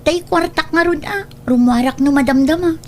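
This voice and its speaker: A demanding young daughter played by a woman in an Ilocano radio drama. She speaks at a very high pitch with an Ilocano accent. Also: moderate pace, close to an everyday voice.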